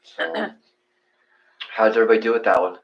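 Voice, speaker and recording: A man hosting in casual, rambling chat, often restarting and restating his thoughts in a halting way. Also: lowish voice, animated, creaky. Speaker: host of live guided meditation sessions. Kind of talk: casual chat with viewers and co-host. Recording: dull, muffled home livestream audio.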